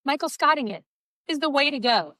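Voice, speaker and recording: A woman's voice: high voice, animated, a little fast, sharp tone, no room sound, studio quality. Nasal woman co-hosting a podcast. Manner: chatty, joking, self-mocking. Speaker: podcast co-host. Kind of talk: casual podcast chat.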